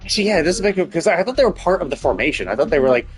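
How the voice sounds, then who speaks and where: A man's voice: on the high side, big pitch swings, very fast. A man casually commentating on a game as a live streamer, rambling and thinking aloud, bluntly and with some swearing. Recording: game music behind the voice. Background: game music.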